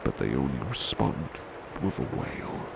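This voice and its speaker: Man playing a very deep, hushed butler. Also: very animated, creaky, full character voice. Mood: melancholy, tender. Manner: hushed, slow, formal, gently solicitous. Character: devoted, competitive, proud male butler. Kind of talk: soft-spoken ASMR roleplay with poetry reading. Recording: strong background ambience noise.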